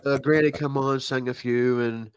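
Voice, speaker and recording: A man telling stories in casual chat, self-deprecating and halting, with many restarts and fillers. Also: medium pitch, steady tone, crowd noise. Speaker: co-host.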